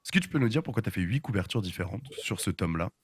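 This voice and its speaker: A man's voice: on the low side, very animated, quick pace, breathy edge. A man hosting a live stream in a casual way, on a close mic. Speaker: show host. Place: indoor room, video call. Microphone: close studio mic on boom arm with headset.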